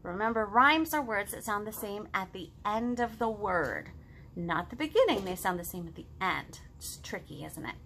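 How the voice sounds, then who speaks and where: A woman in her thirties hosting a children's storytime, reading and chatting to young kids in an expressive, sing-song, exaggerated way. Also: medium pitch, normal speed, slight background noise. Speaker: children's librarian, storytime host. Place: living room at home, indoors.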